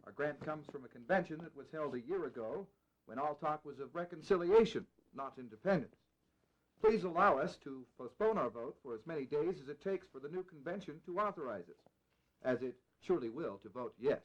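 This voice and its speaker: A hesitant, apologetic delegate in a colonial history drama. The voice is a bit high for a man, on a slightly muffled, lo-fi recording. He pleads politely and haltingly, with plenty of deference. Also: expressive. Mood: apologetic, earnest.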